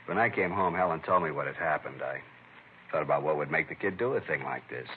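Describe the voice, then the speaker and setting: Low-voiced, hot-tempered American father. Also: fast talker. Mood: troubled. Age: middle-aged. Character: stern, hot-tempered father at the end of his patience. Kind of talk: old-time radio crime drama acting. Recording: tinny, hissy vintage radio broadcast.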